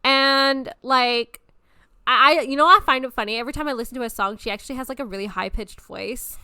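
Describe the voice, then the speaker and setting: A woman's voice: high-pitched, brisk, pushed and bright, clear, resonant tone, no room sound, studio quality. A woman in her twenties hosting a podcast, talking in a casual, rambling and gushing way, swearing often. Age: 20s. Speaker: podcast host. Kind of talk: casual monologue.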